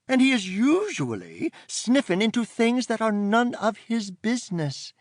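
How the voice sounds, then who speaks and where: Brief: male reader doing a prim, commanding fairy aunt for a storybook, raised and lively, dry close sound. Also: sits high, unhurried, no echo, pitched above the performer's own voice. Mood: lecturing. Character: stern bossy older fairy aunt.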